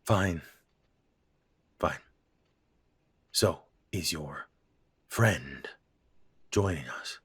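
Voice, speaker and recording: A man playing a deep-voiced, husky middle-aged father. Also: animated, very slow, pauses often, a bit bright, no echo, exaggerated voice. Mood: defensive. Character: gruff middle-aged human father, stiff and uneasy. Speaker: voice actor. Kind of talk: audio drama acting.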